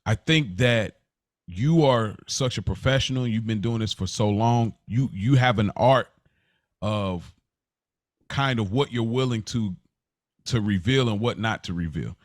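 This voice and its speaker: A man's voice: fairly low pitch, a little slow, no room sound, clean studio sound. American man chatting casually on a podcast. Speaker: podcast co-host. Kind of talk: casual unscripted podcast chat.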